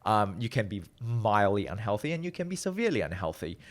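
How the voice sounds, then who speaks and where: Guest researcher, man in his thirties, from Malaysia; Malaysian-British accent with Midlands traces, lowish voice, animated storyteller. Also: brisk. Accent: Malaysian-British English with Midlands traces. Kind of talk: conversational interview.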